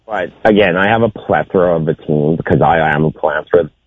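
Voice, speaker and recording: A man calling in to a radio game, joking around and humming song snippets, with a raspy deep voice. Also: quick pace, no room sound. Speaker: caller. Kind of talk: casual radio call-in game. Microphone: phone. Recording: tinny phone line.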